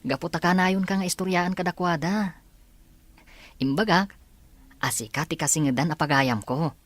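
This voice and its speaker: A woman playing a kind, encouraging young male student in a radio drama, speaking gently and reassuringly. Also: lowish voice, normal speed, dry recording, natural voice. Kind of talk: Ilocano radio drama acting. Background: faint background music.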